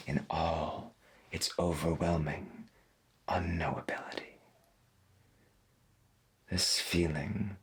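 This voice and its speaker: A man acts out a veteran late-night radio host of the strange in a soft-spoken 1990s ASMR roleplay. His very low voice is gravelly and breathy, and he speaks very slowly. He muses in florid, halting phrases with calm wonder. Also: exaggerated voice. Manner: musing, florid, halting with long pauses.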